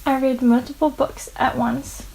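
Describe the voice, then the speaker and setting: A woman's voice: high-pitched, steady tone, a little slow, creaky, a little mellow, slightly rough, rough recording. A Norwegian woman of twenty-one, whose first language is Norwegian, chatting earnestly to camera in English about her reading habits. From Norway. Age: 20s. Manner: chatty, earnest, lists personal facts. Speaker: book vlogger. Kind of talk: casual chat to camera.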